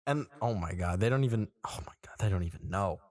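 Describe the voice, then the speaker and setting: A man's voice: lowish voice, quick pace. A man in his twenties, co-hosting a podcast in casual chat, telling stories and acting out people's reactions. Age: 20s. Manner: animated storytelling, acts out quoted reactions. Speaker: podcast co-host. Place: small room.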